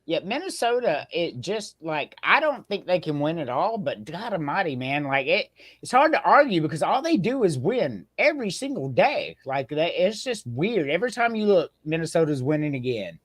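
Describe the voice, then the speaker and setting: A man's voice: on the high side, sing-song, normal speed, few pauses, no room sound, clean studio sound. A man from the American South, hosting a casual sports podcast and rambling easily with lots of hedges and fillers. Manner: rambling, hedging, easygoing. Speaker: podcast host.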